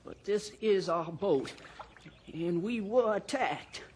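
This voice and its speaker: An actor playing a frightened, shaky boater, halting and insistent, in an old-time radio drama. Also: male voice, high voice, a little mellow, a little hiss or hum. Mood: shaken, pleading. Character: shaken, frightened male boater pleading belief.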